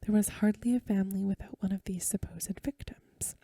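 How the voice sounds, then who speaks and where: A woman reading aloud in a hushed, measured, breathy whisper, in the soft-spoken style of a bedtime ASMR recording. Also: medium pitch, fairly even intonation, no echo, clean studio sound.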